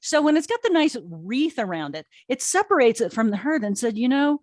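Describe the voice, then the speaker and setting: A woman's voice: a little high, very animated, brisk, no room sound, clean studio sound. A middle-aged American woman talking casually and emphatically as an interview guest, often restarting her sentences. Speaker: interview guest, audio drama producer and writer. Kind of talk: casual conversational storytelling in an interview.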